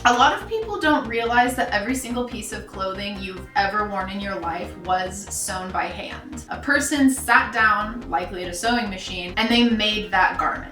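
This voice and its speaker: A young adult woman with a deep voice telling personal stories to camera in an earnest, reflective way with many fillers. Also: sharp tone, echoey room, far from the mic. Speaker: sewing vlogger. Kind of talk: casual personal storytelling to camera.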